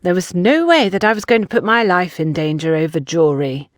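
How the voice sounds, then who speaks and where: A woman's voice: on the low side, fairly quick, no echo, studio quality, lightly acted. A woman voicing an older, gossipy society mother in an audiobook, chatty, dramatic and insistent. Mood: dramatic recounting.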